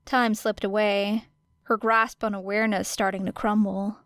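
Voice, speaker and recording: A woman narrating a fiction audiobook in a deliberate, expressive, dramatic style. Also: medium pitch, dry recording, studio quality.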